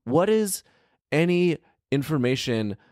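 A man's voice: on the high side, sing-song, slow pace, darkish tone, no echo. A man in his thirties reading a fairy tale aloud and breaking off for casual, incredulous, bantering commentary, speaking close into a handheld microphone. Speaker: storyteller and host. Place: small living room, indoors. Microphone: handheld microphone held close.

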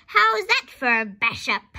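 A woman voicing a bossy, boastful old grandmother who shouts scornfully in a storybook reading. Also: on the high side, animated, unhurried. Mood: boastful, shouting.

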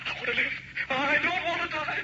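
Desperate dying man, very high-pitched. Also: brisk. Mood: desperate pleading. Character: desperate dying male crash victim, pleading for life. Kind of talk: 1960s radio drama acting. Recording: noisy muffled old radio recording.

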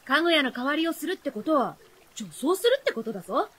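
Bright, high, brash tomboyish youth. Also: female voice, big pitch swings, fast. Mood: defiant. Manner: blunt masculine speech. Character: brash, defiant, boyish-talking youth, reckless and proud. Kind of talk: radio drama acting.

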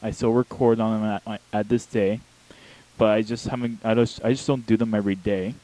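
A young man chatting casually in a halting, rambling way, correcting himself as he goes, into a handheld mic held close. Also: on the low side, fairly even intonation, mellow, low-quality recording. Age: young adult. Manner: halting, self-correcting delivery. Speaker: talk show host. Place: small home bedroom, indoors. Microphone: handheld wireless microphone held close to the mouth.

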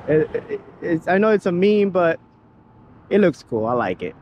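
A man's voice: sits high, big pitch swings, takes it slowly, muffled recording. A man giving a casual, rambling walkaround of his own vehicle outdoors, with lots of fillers and restarts. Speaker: vehicle builder showing his truck. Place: outdoors beside a parked vehicle. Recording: faint outdoor background noise.

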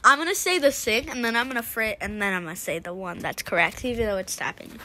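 A woman's voice: mid-range voice, fast, sharp tone, slight background noise. A young woman casually chatting and giggling while playing a mobile game, swearing now and then. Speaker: gamer. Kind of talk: casual let's-play chat.

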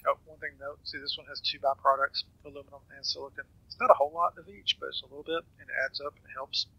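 A man talks through a game tutorial in a very bright, forward voice with a husky, raspy grain. His delivery is casual and unscripted, with hesitant pauses and phrases that trail away. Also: fairly high pitch, steady tone, no echo, low-quality recording. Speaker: gamer giving a tutorial. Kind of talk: casual game walkthrough commentary.

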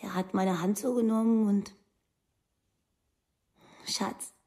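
A woman's voice: medium pitch, steady tone, slow pace, dark tone, lightly acted. An actress playing a devoted, wistful woman of twenty-six, talking in plain short phrases in a documentary radio play. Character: devoted, wistful 26-year-old girlfriend of a prisoner.

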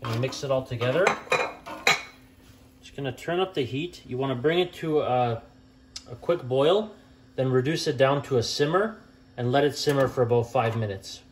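A young man explains a recipe as he cooks, in a casual, unhurried voice of ordinary pitch. He corrects himself now and then and fills pauses with small "uh"s. Faint kitchen noise and frying hum sit behind him. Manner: relaxed and plain-spoken, with frequent "uh" and "um" fillers and small self-corrections. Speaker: home cooking channel host. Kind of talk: step-by-step cooking instruction. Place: home kitchen at the stove.

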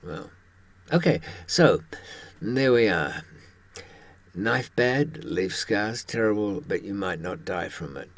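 An elderly man in his seventies with a husky, fairly low voice talks to camera in a loose, rambling way. He has a wry, darkly humorous manner and often pauses to hunt for the right word. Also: expressive, no echo. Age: 70s. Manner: wry, darkly humorous, hesitant searching for words. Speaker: host recounting experiences from a criminal past.

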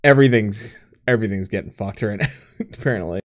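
A man's voice: lowish voice, fairly quick, dry recording, clean studio sound. A man in his thirties co-hosting a casual gaming stream, rambling with lots of fillers, laughing and swearing as he goes. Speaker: podcast co-host. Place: home room with green screen.

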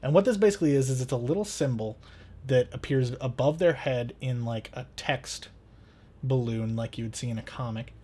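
Guy in his 30s doing a casual how-to, medium pitch, talking thru each step. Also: normal speed, dark tone, husky. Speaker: tutorial host. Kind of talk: casual step-by-step screen tutorial.

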